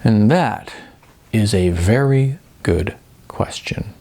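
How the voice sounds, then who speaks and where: A man voicing a wry, awkward male friend in audiobook dialogue, deadpan and a little hesitant. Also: fairly low pitch, takes it slowly. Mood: puzzled, wry.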